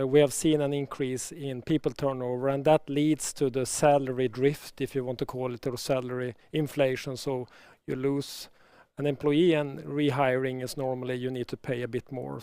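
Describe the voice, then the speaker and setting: A man speaking as a company executive, answering analysts in a measured, halting way and often repeating words. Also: mid-range voice, a little mellow, clear, resonant tone, no room sound. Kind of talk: earnings call answers.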